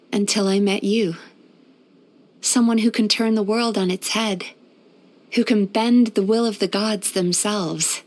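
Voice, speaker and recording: Gentle lady psychologist for a game, measured n soothing, a bit playful, medium pitch. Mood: reflective, tender. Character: gentle, caring female psychologist who banishes nightmares. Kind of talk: scripted video game character voice lines.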